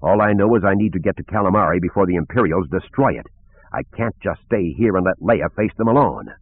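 Male reader as roguish determined father, curt, urgent, low-pitched, rough-edged, storybook action hero. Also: expressive, fairly quick. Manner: curt, forceful. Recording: narrow phone-like band.

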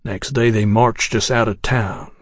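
A man as a slow, grim gunman. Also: lowish voice, steady tone, no echo. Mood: bitter, grim. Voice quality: dry. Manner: terse, toneless, drawn-out delivery. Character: grim, menacing Texian man, weary and bitter.

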